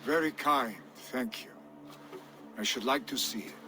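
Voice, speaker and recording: An actor playing a proud, earnest son of a dead jarl in a game cutscene. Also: male voice, medium pitch, measured pace, pushed and bright.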